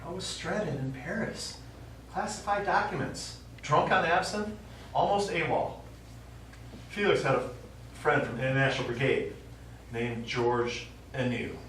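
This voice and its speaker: An actor playing a stubborn old American war veteran and father, telling his memories haltingly in a stage play. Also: mid-range voice, fairly bright tone, close to an everyday voice. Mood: wistful reminiscing. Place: small theater stage, recorded from the audience. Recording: distant, very echoey theater recording, faint noise.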